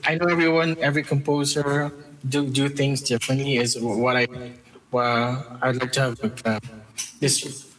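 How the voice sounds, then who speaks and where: Casual, modest man with a mid-pitched voice. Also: little pitch movement, moderate pace, fairly bright tone, low-quality recording. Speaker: interview guest, game composer. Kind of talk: casual interview chat.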